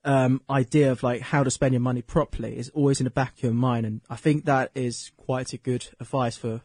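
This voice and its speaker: A man's voice: medium pitch, steady tone, brisk, a little mellow, dry recording. A man hosting an interview, asking long, rambling questions full of "you know" and restarts.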